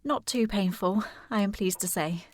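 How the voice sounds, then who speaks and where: A woman's voice: medium pitch, moderate pace, lightly acted. An actress playing a polite, earnest and determined young lady in a period audio drama, with formal speech. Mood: composed, courteous. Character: distressed, polite, determined young lady searching for her sister.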